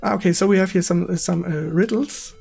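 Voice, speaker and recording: A man with a German accent hosting a casual gaming stream interview in English, speaking haltingly and repeating words with effusive enthusiasm. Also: high voice, creaky, darkish tone, breathy edge, faint music behind. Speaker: host of a retro gaming stream.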